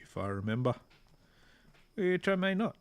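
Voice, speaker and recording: A man giving relaxed, rambling, self-deprecating commentary while he plays a game. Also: sits high, moderate pace. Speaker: gameplay streamer. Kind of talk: casual gameplay commentary.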